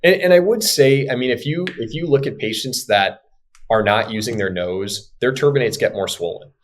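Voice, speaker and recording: A man's voice: mid-range voice, moderate pace, a little hiss or hum. A man in his thirties, an ENT surgeon, explaining patient cases in a casual podcast interview.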